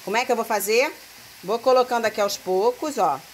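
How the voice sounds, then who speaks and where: A woman's voice: on the high side, fairly quick, slight background noise. Chatty woman cooking at home. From Brazil. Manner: chatty, talks straight to viewers. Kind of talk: casual cooking vlog. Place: home kitchen, indoors. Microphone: phone held close. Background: food sizzling in a pan, music.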